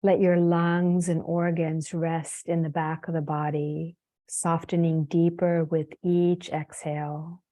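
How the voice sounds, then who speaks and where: A woman leading a guided meditation as a yoga teacher, soothing and unhurried, leaving long pauses between phrases. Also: low voice, flat delivery, mellow.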